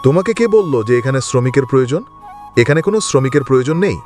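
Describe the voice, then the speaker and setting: A man voicing a gruff, dismissive landlord father in a cartoon, with a brusque, exclamatory way of talking. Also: medium pitch, expressive, quick pace, darkish tone, faint music behind, lightly acted. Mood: irritated. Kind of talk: cartoon dialogue acting.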